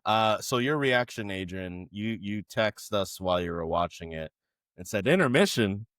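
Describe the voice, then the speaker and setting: A man with a notably deep voice leads a casual movie podcast. He runs through film credits and throws jokes to his co-hosts, recorded close and dry with no room sound. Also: animated, normal speed, a bit bright, no room sound. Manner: leads the show, reads out film credits, jokey. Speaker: podcast host.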